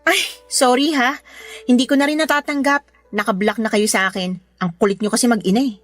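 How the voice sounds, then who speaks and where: A woman's voice: on the high side, steady tone, fairly quick, no echo, faint music behind, lightly acted. A woman acting as a fed-up, scornful young mother scolding her ex in a Filipino radio drama. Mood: scornful, irritated. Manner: sharp, scolding, sarcastic.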